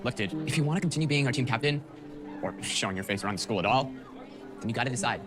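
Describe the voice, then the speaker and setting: A quick, lively teenage boy played by a man, blunt and cocky. He confronts like a demanding teammate in a scripted web drama, with music behind. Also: medium pitch, lightly acted. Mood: confrontational.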